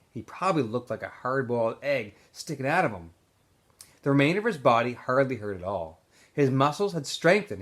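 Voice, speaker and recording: A man reads a novel aloud to students with a very animated pitch range. His delivery is informal storytelling, with occasional stumbles that he corrects as he goes. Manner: informal, stumbles and corrects himself while reading. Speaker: teacher reading aloud to students.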